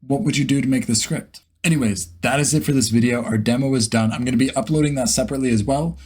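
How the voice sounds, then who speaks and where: A man's voice: mid-range voice, fast, a little mellow, slightly rough. A man talking casually and informally as a vlog host, thinking aloud in a self-deprecating way. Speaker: host, beginner voice actor. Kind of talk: casual vlog chat to camera.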